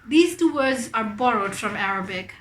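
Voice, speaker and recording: A young adult woman, a native Urdu speaker, teaching an online lesson. She explains slowly and clearly in English, then says quick Urdu example phrases. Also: a little high, animated, reverberant. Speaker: language teacher.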